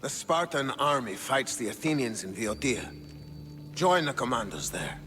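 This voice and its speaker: A man's voice: mid-range voice, expressive, moderate pace, bright, forceful tone, a little hiss or hum. A man acting a haughty, scornful Spartan king in a video game, commanding and sardonic, with a declamatory delivery. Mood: stern, challenging. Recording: reverberant stone hall, music underneath.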